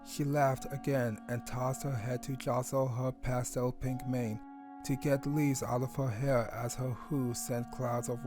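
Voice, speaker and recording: Man narrating a story over soft music. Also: mid-range voice.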